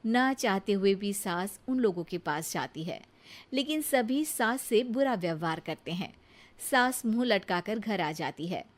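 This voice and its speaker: A woman's voice: mid-range voice, lively intonation, unhurried. A woman narrating a scripted moral story for children as its storyteller.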